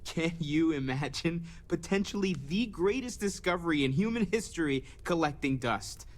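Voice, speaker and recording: Casting: man playing kindly scholar-explorer for a space game, gentle and earnest, mid to slightly high male pitch. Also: expressive, normal speed, natural voice. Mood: earnest, curious. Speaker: theological scholar turned explorer. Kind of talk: video game character dialogue.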